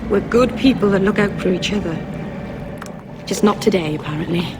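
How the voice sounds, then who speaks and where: A woman's voice: medium pitch, fairly quick, close to an everyday voice. A woman playing an earnest, apologetic but stubbornly determined young elf exile in a video game. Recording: game audio with clear ambient noise.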